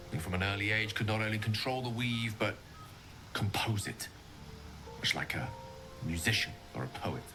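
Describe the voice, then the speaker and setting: Low-voiced actor as a wistful, eloquent wizard. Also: male voice, lightly acted. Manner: eloquent, measured, confessional. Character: eloquent, wistful male wizard, once a prodigy. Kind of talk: dramatic video game monologue. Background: music.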